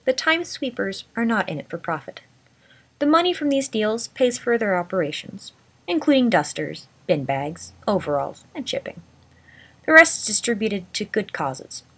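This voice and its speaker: A woman reading a short story aloud as scripted narration. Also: lowish voice. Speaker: amateur story reader.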